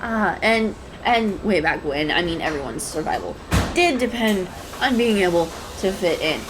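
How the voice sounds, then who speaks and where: Woman acting shy rambling young woman, lively pitch. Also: moderate pace, close to an everyday voice. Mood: anxious, spiraling. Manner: hesitant, rambling, stammering, nervous laughs. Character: shy, anxious, adorkable young woman chatting up a stranger. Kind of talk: intimate first-person roleplay audio. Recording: clear background coffee-shop ambience noise. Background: coffee shop ambience sound effects.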